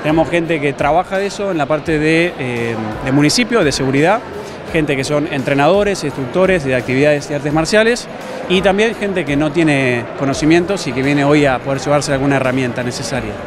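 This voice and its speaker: Argentine man, thirties, instructor interviewed in a gym, slightly high voice, music behind. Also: a little fast. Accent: Argentine Spanish. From La Plata, Argentina. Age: 30s. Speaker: self-defense instructor being interviewed. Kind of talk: spontaneous interview answers. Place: large busy gym hall, indoors. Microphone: handheld reporter microphone.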